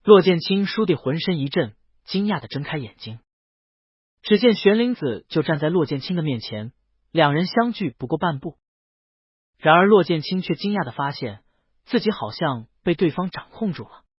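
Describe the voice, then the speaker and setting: A man narrating a fantasy novel in an expressive, storytelling manner. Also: on the high side, normal speed, breathy edge, no room sound, lo-fi sound. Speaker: audiobook narrator.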